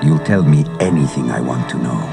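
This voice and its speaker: Gravelly, very deep vengeful villain. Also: male voice. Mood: menacing. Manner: slow, menacing, imperious. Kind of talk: read-along audio adventure acting. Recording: cassette transfer with music underneath.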